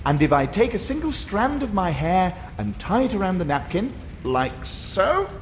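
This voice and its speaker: A man's voice: high voice, very animated, moderate pace, slight background noise. A man playing a cheeky, servile young court jester in an audio drama, with an eager showman's manner. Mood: teasing. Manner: eager, sing-song showmanship. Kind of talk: fan audio drama acting.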